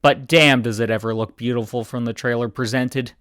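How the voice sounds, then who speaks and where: A man giving scripted video game commentary in a deadpan voice. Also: medium pitch, a little fast, clean tone, no echo, studio quality.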